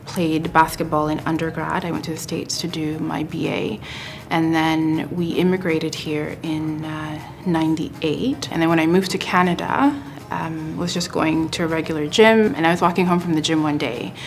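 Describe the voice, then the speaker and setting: A woman in her forties from West Africa, telling her story in a thoughtful, measured way in a documentary interview. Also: low-pitched, fairly even intonation, a little hiss or hum. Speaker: interviewee, combat sports athlete.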